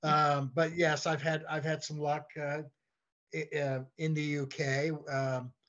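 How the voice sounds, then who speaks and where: An older man chatting as an interview guest, halting and repeating words as he searches for his phrasing. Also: a little high, flat delivery, a bit bright. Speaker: guest, playwright and comedy writer. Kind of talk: casual interview chat. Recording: dull, lo-fi voice track.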